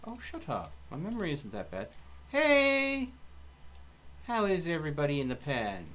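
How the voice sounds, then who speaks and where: A man's voice: on the high side, wildly swooping pitch, normal speed, fairly bright tone. A man streaming a video game and bantering casually with a co-player in a wordy, argumentative, exasperated way.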